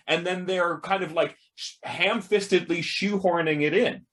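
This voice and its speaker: A man's voice: sits high, measured pace, a bit bright. A man hosting a casual video-call panel discussion through a headset, speaking emphatically and laying out his points one by one. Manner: emphatic, lists points, invites others to join.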